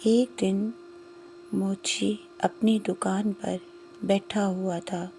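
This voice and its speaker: A woman narrating a scripted moral story in short, measured phrases with pauses. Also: medium pitch, level tone, vocal fry, dark tone, a little hiss or hum, poor audio.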